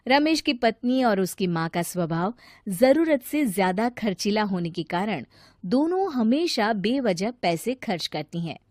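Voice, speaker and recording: A woman narrates a scripted moral tale as a storyteller. Her voice is medium in pitch and recorded close and dry. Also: big pitch swings, unhurried, a little mellow, no room sound.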